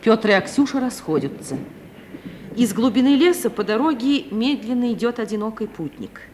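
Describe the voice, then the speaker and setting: A woman's voice: a little high, expressive, normal speed, a little mellow. A woman reading scripted scene narration for a mid-century radio play. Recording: faintly noisy 1950 radio recording.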